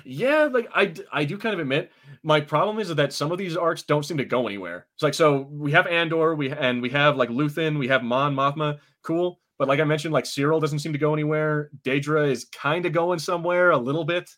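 A man's voice: fairly high pitch, fairly quick, fairly bright tone. A man co-hosting a podcast, chatting casually and informally about TV, with some swearing. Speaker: podcast co-host. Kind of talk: casual TV review chat.

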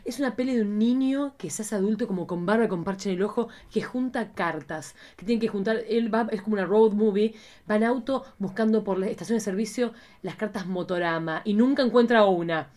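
A woman's voice: medium pitch, brisk, darkish tone. Campy Argentine woman in casual chat. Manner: campy, exclamatory, uses slang freely. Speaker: podcast co-host. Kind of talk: casual, playful chat about cult cinema.

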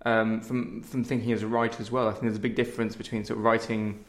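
Male theatre director, quick conversational delivery, thoughtful and earnest, recorded in an echoey room. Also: fairly low pitch, level tone. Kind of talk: casual conversational interview. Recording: roomy, echoey sound.

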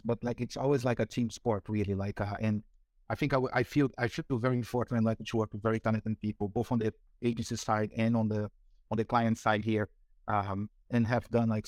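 A man's voice: mid-range voice, a little mellow, dry recording. A man in his forties from Brazil, speaking English with a Brazilian Portuguese accent as an interview guest, talking casually with many fillers and restarts. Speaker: guest, marketing executive.

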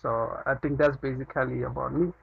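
A man's voice: mid-range voice, level tone, fast talker, vocal fry, husky, lo-fi sound. A man from Nigeria joining a live online session as a participant, hesitant and searching for words under time pressure.

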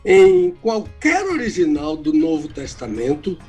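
An older retired pastor from Salvador, Bahia, with a mixed Brazilian accent, teaching the Bible on radio in a calm, explanatory way. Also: male voice, a little high, a little slow, husky, soft background music. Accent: mixed Brazilian Portuguese, little Bahian.

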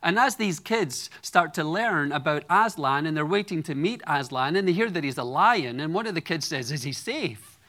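A man's voice: a little high, lively intonation, moderate pace, sharp tone. A Scottish man in his forties preaching a sermon to a congregation, earnest and personal with light humor. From Scotland. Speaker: guest preacher.

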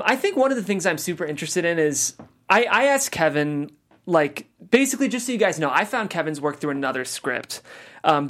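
A woman hosting a casual panel discussion, chatty and loose with many fillers. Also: deep voice. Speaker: host.

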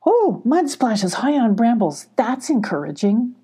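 An earnest little girl kitten from a children's storybook, played by a woman. The voice is high and mellow, with a plucky but anxious, pleading manner that falters easily. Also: sing-song, measured pace, husky, exaggerated voice. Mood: excited, hopeful. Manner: pleading, faltering when scared, talks to herself.

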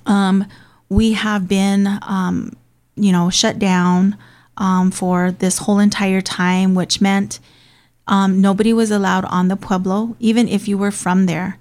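A woman's voice: mid-range voice, level tone, unhurried, a little mellow. A woman who grew up in Hawaii, hosting and talking in a casual, earnest way with frequent ums. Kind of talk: casual conversational talk.

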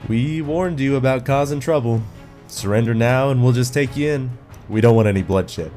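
A man's voice: mid-range voice, normal speed, dark tone, natural voice. Man acting a plain-spoken guard leader, music behind. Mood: stern warning. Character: stern male guard leader in space commune. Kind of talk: sci-fi audio drama acting.

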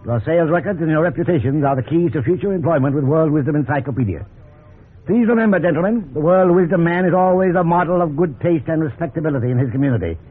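Pompous boss, tinny old radio. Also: male voice, a little high, expressive, quick pace, slightly rough. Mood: pompous warning. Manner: pompous, oratorical. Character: pompous older company boss fanatical about respectability. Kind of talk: 1950s radio drama acting, speechifying. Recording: tinny 1950s radio recording.

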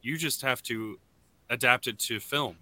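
A man co-hosting a podcast in casual chat, often halting and restarting his thoughts. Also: mid-range voice, pushed and bright, no room sound. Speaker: podcast co-host.

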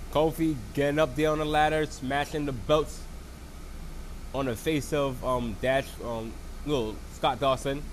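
Sick guy w a froggy voice rambling thru a wrestling recap, kinda high voice, faint noise. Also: a little mellow. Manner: rambling, lots of fillers and restarts. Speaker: wrestling show reviewer. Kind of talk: casual solo recap.

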